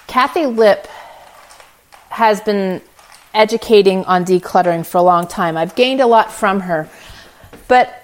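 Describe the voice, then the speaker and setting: A middle-aged woman with a medium-pitched voice talks solo as a podcast host. She is earnest and encouraging, speaking informally and conversationally, as if straight to her listeners.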